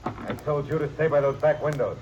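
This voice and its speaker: A man's voice: on the high side, a little fast, heavy background noise, natural voice. An actor playing a tense male outlaw in a 1950s radio drama, urgent and barking orders. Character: tense male outlaw hiding in a ranch house. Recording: dull old radio transcription.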